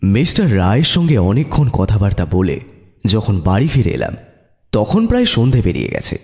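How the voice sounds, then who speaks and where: A man playing a detective's curious, eager companion who also narrates the audio mystery, with a husky voice. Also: lowish voice, wildly swooping pitch, moderate pace, darkish tone, heavily acted. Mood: storytelling. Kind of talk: audio story narration and dramatic dialogue. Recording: music behind the voice.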